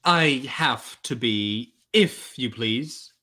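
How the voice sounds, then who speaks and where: A man's voice: mid-range voice, expressive, drawn-out words, pushed and bright, heavily acted. A man acting in an old-time radio drama as a cool, conscientious hired killer, with formal, understated politeness. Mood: polite menace.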